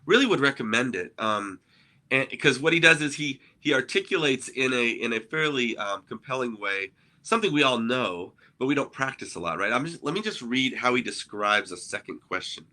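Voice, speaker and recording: Man, grew up in Argentina, bright clear tone, middle pitch, earnest explainer, casual podcast talk. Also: expressive, moderate pace. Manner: earnest, explanatory, often checks in with "right?". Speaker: co-host, ministry innovation speaker. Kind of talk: conversational podcast discussion.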